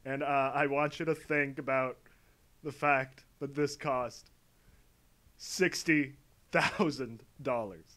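A man's voice: mid-range voice, fairly even intonation, slow, fairly bright tone. A man giving mocking reaction commentary as a video commentator, exasperated, sweary and stammering.

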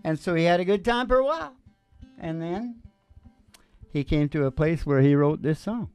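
An older man hosts a small live music show from the stage. He talks casually into a close microphone, rambling and breaking off mid-thought as he muses. Soft acoustic guitar sits behind his voice. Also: a little high, animated, clear, resonant tone, no echo. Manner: halting, self-interrupting, musing. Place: small indoor stage with curtain. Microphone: stage microphone on a stand, close up.

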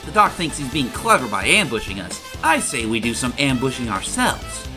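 Cartoon hero, female performer: flippant, impatient young male hedgehog, lowish for a woman, hugely animated. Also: moderate pace, over loud music. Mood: cocky. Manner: sarcastic, flippant. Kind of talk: animated comic dub.

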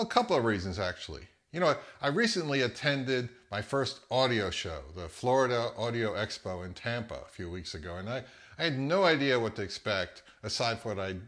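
A man's voice: on the low side, fairly bright tone. A man in his fifties with an American accent, talking casually as the host of a vlog. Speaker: host of a hi-fi audio channel.

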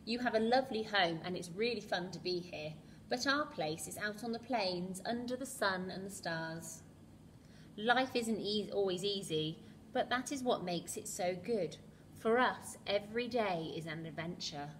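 A woman's voice: medium pitch, a little slow, a little hiss or hum, natural voice. A woman voicing a kind, contented elephant in a storybook, polite and explaining.

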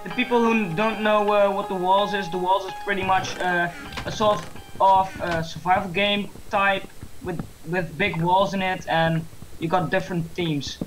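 A man chatting casually while gaming with a friend, halting with many fillers in broken English. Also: sits high, fairly even intonation, music in the background, poor audio. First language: Dutch. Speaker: co-host gamer. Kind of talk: casual gameplay chat. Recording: faint background noise, mouse clicks.

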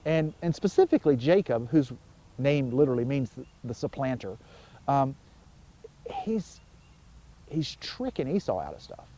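A man in his forties, a pastor giving an informal, conversational sermon outdoors, telling stories with emphatic pauses. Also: mid-range voice, very animated, dark tone, a little hiss or hum. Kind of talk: informal sermon, explaining scripture. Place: outdoors by a wooded pond.

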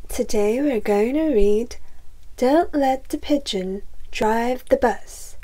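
High, soft-toned woman storyteller. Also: slow pace, poor audio. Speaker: children's storybook reader. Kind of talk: read-aloud narration for children.

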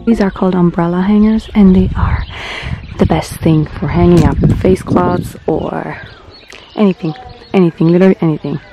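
A woman's voice: lowish voice, fairly even intonation, normal speed, dull audio, over loud music. A young woman chatting cheerfully to her handheld camera as she vlogs her laundry day. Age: young adult. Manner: cheerful, chatty, laughs easily. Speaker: vlogger. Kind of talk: casual vlog narration. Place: outdoors at home and in a laundromat. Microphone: handheld camera at arm's length. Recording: noisy outdoor ambience.

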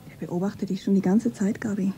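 An actress playing a gossipy, observant young shopgirl in a radio play, with a breathy voice. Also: medium pitch, steady tone, fairly quick, dark tone, a little hiss or hum, natural voice. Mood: teasing, conspiratorial.

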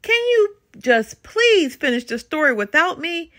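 A woman's voice: sits high, very animated, a little slow, sharp tone, voice pushed up, heavily acted. Woman doing a flustered, anxious papa bear. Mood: pleading. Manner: anxious, stammering. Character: flustered, self-conscious male papa bear with stage fright. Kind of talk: children's storybook read-aloud.

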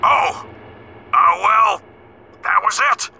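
An actor playing a rough, loud alien henchman reporting to his superior in a video game, with a raspy voice. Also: high voice, drawn-out words, a little hiss or hum, exaggerated voice. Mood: hesitant, bewildered. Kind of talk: animated video game voice acting.

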